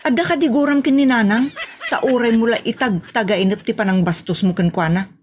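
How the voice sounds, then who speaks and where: Woman acting a high-voiced, nagging Filipino wife. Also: a little fast, a little hiss or hum, lightly acted. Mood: scolding. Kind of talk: Ilocano audio comedy drama acting.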